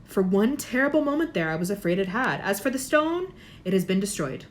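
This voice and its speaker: A woman voicing a kindly old male headmaster in a storybook reading, gentle, measured and formal. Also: mid-range voice, sing-song, lightly acted.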